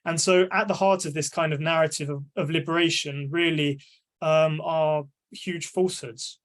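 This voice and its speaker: A man's voice: a little high, steady tone, moderate pace. A young man on a video call, giving a thoughtful panel answer with frequent ums and restarted phrases. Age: young adult. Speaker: panelist, media analyst.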